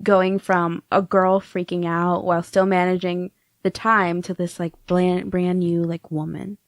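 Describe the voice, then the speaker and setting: A woman's voice: lowish voice, little pitch movement, a little slow, no room sound, studio quality. A young woman interviewing in a casual chat, slangy and enthusiastic. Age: young adult. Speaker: podcast interviewer.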